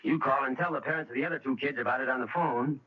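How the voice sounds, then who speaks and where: A man acts out a stern middle-aged American father in an old radio play. He is blunt and suspicious, giving orders in a medium-pitched voice. The recording is dull and narrow. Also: quick pace, lightly acted. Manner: blunt, commanding. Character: tense, stern father protective and suspicious of visitor. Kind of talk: old radio drama acting.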